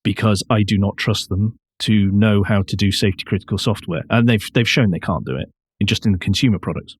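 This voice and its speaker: Male podcast co-host, fairly low voice, opinionated and conversational, very dry recording. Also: a little fast, husky, dry recording. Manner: opinionated, emphatic. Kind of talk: casual discussion of car news.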